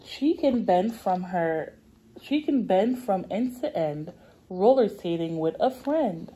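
A woman's voice: mid-range voice, expressive, takes it slowly, dark tone, slightly rough, low-quality recording. A young woman speaking as a preschool teacher, reading aloud to small children in simple, repeated, stretched-out phrases. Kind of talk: children's read-aloud lesson. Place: indoor classroom.

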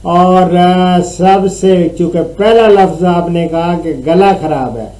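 Middle-aged pakistani guy giving farm advice, slow unhurried talk, jokes with callers, webcam in a small room. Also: high-pitched, warm tone. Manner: friendly, joking, calls caller "my dear". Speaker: agricultural advisor answering callers. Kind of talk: phone-in advice chat.

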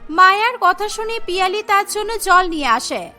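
A woman's voice: very high-pitched, expressive, normal speed, sharp tone, soft background music, full character voice. A woman narrating a scripted family cartoon story. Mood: storytelling. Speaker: narrator and voice of female cartoon characters.

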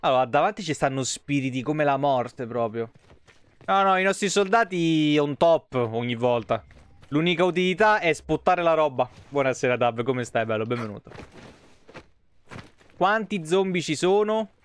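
A man's voice: on the high side, lively intonation, moderate pace, clean tone, no echo. A man in his thirties from Italy giving casual, foul-mouthed gaming commentary and chatting to viewers. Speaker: live streamer playing a video game. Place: indoor home streaming setup. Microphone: close streaming microphone.

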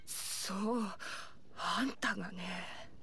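A woman playing a proud, feisty village meat chef in an anime-style game, brisk and self-assured. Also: medium pitch, sharp tone, a little hiss or hum, heavily acted. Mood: sceptical.

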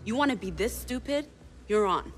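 A woman's voice: on the high side, expressive, moderate pace, fairly bright tone, soft background music. An actress playing a cocky, threatening female villain who fixes street races in a video game, cold and domineering.

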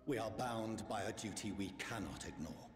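A man's voice: on the low side, moderate pace, close to an everyday voice. A man playing a proud, unyielding councilman in a video game, speaking in a solemn, declamatory manner. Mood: resolute. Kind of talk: scripted video game acting. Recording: echoey hall reverb, music behind.

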